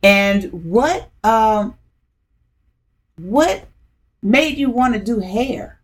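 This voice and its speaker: A woman's voice: a little high, animated, extremely slow. A woman hosting a podcast, chatty and upbeat, interviewing guests with frequent fillers. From DMV area, US. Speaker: podcast host.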